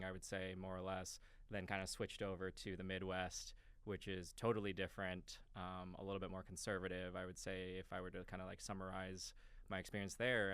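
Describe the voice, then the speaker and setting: Casual podcast guest: man, young adult, resonant low voice, dry close sound, hedging and easygoing. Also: smooth, no echo. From New York, United States. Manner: relaxed, self-deprecating, frequent hedges and fillers. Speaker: podcast guest, marketing executive. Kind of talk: casual interview conversation.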